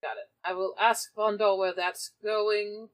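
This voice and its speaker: A woman's voice: medium pitch, flat delivery, a little slow. A woman playing a tabletop game, casually moving between describing and speaking in character.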